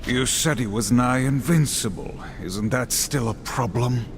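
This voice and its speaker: An actor playing a stern, grim warrior horseman in a video game, speaking in a solemn, formal, measured way. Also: medium pitch, slight background noise, lightly acted. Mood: grave, wary. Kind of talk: video game cutscene acting. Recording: loud music behind the voice.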